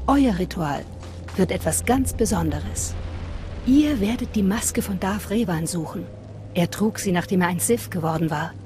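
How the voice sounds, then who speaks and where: An actress voicing a fanatical assassin and devout cult guardian in a video game, reverent and measured. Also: female voice, mid-range voice, lively intonation, darkish tone, lightly acted. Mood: fervent. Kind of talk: dramatic video game dialogue. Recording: prominent music behind voice.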